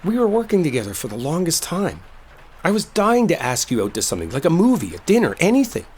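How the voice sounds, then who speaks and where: A man's voice: a little high, huge pitch swings, fast talker, darkish tone, slightly rough, exaggerated voice. A man playing an exasperated, defensive coworker who is secretly smitten, in an emotional roleplay audio drama. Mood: confessing, pleading. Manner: emotional, pleading, emphatic, conversational. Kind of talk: roleplay audio drama, intimate one-sided dialogue.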